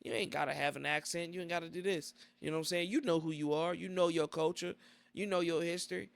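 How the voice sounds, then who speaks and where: Gravelly man with a raspy-edged voice, rambling. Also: on the high side, fast, dry recording. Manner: rambling, repeats "you know what I'm saying". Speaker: podcast host. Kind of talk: casual interview.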